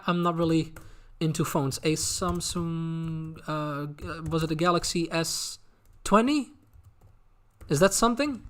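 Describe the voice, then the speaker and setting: Higher-voiced Dutch man chatting on stream. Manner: hesitant, halting, often repeating words and thinking aloud. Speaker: streamer, anime content creator. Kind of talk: casual live stream Q&A chat, reading out and answering viewer questions.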